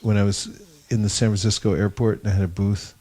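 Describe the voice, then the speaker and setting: A man's voice: lowish voice, level tone, fast talker, dark tone. A man leading a devotional class discussion, telling anecdotes with wry humor. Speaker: discussion leader. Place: gathering in India.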